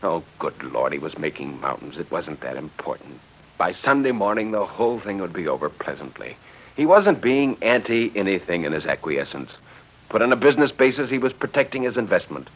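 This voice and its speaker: A man narrating a dramatic story in 1940s radio style, with a literary, expressive delivery. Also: deep voice, brisk, husky. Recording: dull vintage 1940s radio recording.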